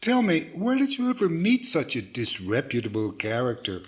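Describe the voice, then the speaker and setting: A man's voice: medium pitch, huge pitch swings, moderate pace. A man playing a patient, reasonable ventriloquist, the mild straight man in a 1940s-style radio comedy, slightly hesitant and reassuring. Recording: slightly dull lo-fi sound.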